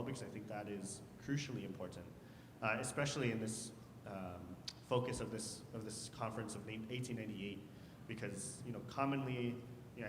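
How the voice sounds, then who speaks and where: A man speaking as a panelist, casual and joking, often repeating words, in a hall. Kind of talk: panel talk. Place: hall, a former church. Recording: echoey hall with faint rumble.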